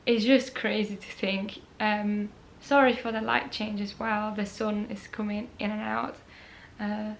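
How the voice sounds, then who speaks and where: Slow-talking Austrian woman, recovering from a cold. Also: fairly high pitch, a bit bright. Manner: chatty, unhurried, with many ums and repeated words. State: recovering from a cold, voice not fully healed. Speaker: knitting podcast host. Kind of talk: casual chat to camera. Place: home room by a sunny window.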